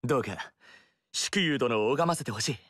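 A man's voice: medium pitch, expressive, normal speed, a bit bright, breathy edge, no echo. An actor voicing an earnest young male warrior, formal and eager to learn. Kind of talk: studio game dialogue. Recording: dry studio recording.

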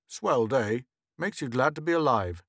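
A man's voice: mid-range voice, lively intonation, unhurried, a bit bright, no echo, clean studio sound. Brusque matter-of-fact husband, a man reading. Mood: breezy. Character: easygoing middle-aged husband with a short temper.

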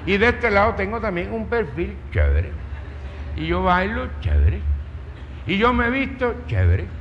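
A man's voice: high-pitched, huge pitch swings, a little slow, slight background noise, voice pushed up, heavily acted. A proud little man who keeps praising his own looks, dancing and walking, as a storyteller puts him on. His sing-song voice sits well above the teller's own and carries a lift of volume. It sounds dull and washed by the reverb of a big hall. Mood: boastful. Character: small vain man, cheerfully proud of himself.

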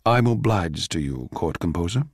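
A man voicing a complacent, regal emperor in a radio drama, speaking in a lofty, brisk manner. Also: low voice, expressive, slightly rough, no room sound, studio quality, full character voice. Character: complacent, regal male emperor, casually pronouncing.

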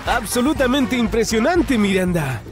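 A man's voice: extremely high pitch, lively intonation, normal speed. A man performing an upbeat, friendly narrator in a children's cartoon, speaking directly to the characters with an exclamatory delivery. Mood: excited. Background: music.

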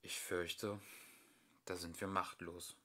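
A man's voice: low voice, level tone, takes it slowly, voice pushed down, exaggerated voice. Man as a kindly, sensible old house. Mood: quiet regret. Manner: gentle, earnest. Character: kind, sensible, sympathetic old female house.

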